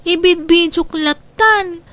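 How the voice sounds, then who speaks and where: A woman acts out a young grandchild in a radio play, with a high, plaintive voice. The child is anxious and protective, pleading slowly and earnestly. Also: bright, forceful tone, heavily acted. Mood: upset, concerned. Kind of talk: radio drama acting.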